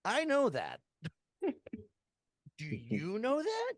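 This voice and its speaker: Profane, ranting middle-aged podcast host. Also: male voice, very high-pitched, wildly swooping pitch, extremely slow, no echo, clean studio sound. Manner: profane, ranting, laughs often. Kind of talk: casual wrestling podcast chat.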